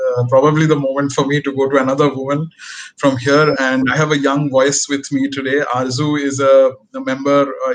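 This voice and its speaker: A man moderating a panel session, speaking politely and haltingly, with repeated words and fillers. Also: medium pitch, slight background noise, rough recording.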